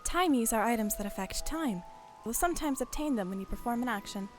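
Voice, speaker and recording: A woman's voice: on the high side, animated, brisk, faint music behind. Informal woman streaming a video game. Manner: informal, sweary, thinking aloud. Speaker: stream host playing the game. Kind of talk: casual game stream chat.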